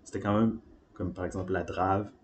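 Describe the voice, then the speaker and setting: A man from Beauce with a Quebec French accent, rambling through personal reflections in a halting way, often searching for words. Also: deep voice, steady tone, a little hiss or hum. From Beauce, Quebec, Canada.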